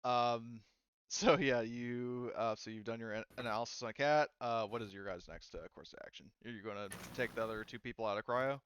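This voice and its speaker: A man running a tabletop game as game master, narrating casually in a halting, conversational way. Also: medium pitch, a bit bright, clear, resonant tone.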